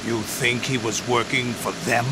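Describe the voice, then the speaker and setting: An actor playing a cold, commanding male villain leader in a video game, gravelly and clipped. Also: mid-range voice, level tone, normal speed, background noise, close to an everyday voice. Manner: authoritative, clipped. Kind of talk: video game cutscene acting.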